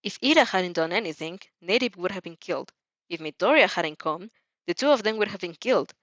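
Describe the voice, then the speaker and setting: A woman's voice: lowish voice, lively intonation, brisk, fairly bright tone, no room sound, muffled recording. A woman voicing an intense, indignant teenage boy hero student in an audio story, heated and insistent. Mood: indignant rage.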